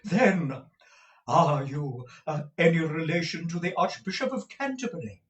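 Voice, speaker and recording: Man doing a raised, odd-toned dotty old gent in a storybook; slow, florid, gallant. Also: on the high side, lively intonation, a bit bright, dull audio, pitched above the performer's own voice. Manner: flowery, theatrically gallant. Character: eccentric old gentleman, courtly and addled.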